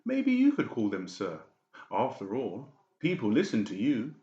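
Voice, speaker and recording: A man's voice: medium pitch, wildly swooping pitch, unhurried, mellow, reverberant, highs cut off, pitched above the performer's own voice. A man voicing a conniving, cowardly doctor who barks at patients and cringes before his boss in an audiobook drama. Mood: fawning. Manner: barking, aggressive, cringing to his superior.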